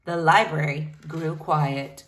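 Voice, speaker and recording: A woman reading a children's book aloud, narrating in an expressive storytelling manner. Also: low voice, takes it slowly. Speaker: storybook reader.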